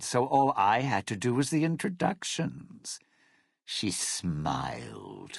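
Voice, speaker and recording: Low, gravelly, sneering schemer. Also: male voice, no echo, studio quality, heavily acted. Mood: smug, gloating. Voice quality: husky, gravelly. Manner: sardonic, theatrical, sneering. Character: bitter, scheming male lounge singer and thief, smug and vengeful. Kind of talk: video game character dialogue.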